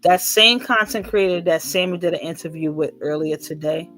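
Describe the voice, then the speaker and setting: Woman guest, deep voice, loud and boisterous, on a call with faint music behind. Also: moderate pace. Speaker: interview guest. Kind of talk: casual online interview. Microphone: online call.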